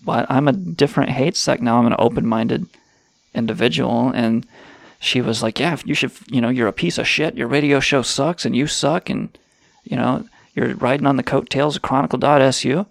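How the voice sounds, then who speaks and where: A man's voice: medium pitch, normal speed, creaky, dry recording. A man hosting a crude comedy radio show, sarcastic and rambling, swearing freely. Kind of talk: irreverent comedic banter.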